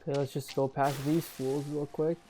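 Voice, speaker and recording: A man's voice: fairly high pitch, flat delivery, moderate pace, mellow, a little hiss or hum, poor audio. A young man giving casual, slangy, offhand gameplay commentary with game music behind him. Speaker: gaming video host.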